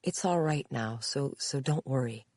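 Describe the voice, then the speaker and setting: A woman's voice: very deep voice, measured pace, darkish tone, dry recording. A woman reading an audiobook voices a younger woman who is uneasy, polite and guarded as she reassures and questions others. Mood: guarded, reassuring.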